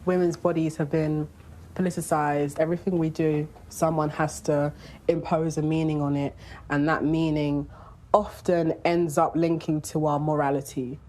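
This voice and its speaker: A woman's voice: low voice, flat delivery, moderate pace, warm tone. A woman presenting a documentary, moving between scripted narration and interview questions.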